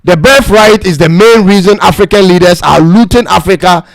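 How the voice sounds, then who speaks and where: A man's voice: sits high, normal speed, vocal fry, no room sound, clean studio sound. A man hosting a casual live-stream talk show, musing and chatting to his audience. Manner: chatty, reflective, addresses "ladies and gentlemen". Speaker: host.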